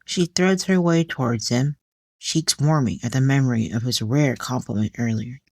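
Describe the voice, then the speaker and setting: A woman narrating a scripted audio drama with deliberate, measured storytelling. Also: rumbling low, dry recording. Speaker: author and narrator.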